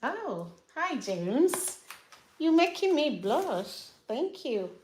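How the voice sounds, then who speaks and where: A woman's voice: high-pitched, big pitch swings, extremely slow, darkish tone, a little hiss or hum. A middle-aged woman with a Nigerian accent, chatting casually to viewers as she does her daughter's hair at home, laughing often. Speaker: hair care vlogger and mother. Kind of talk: casual tutorial chat to viewers.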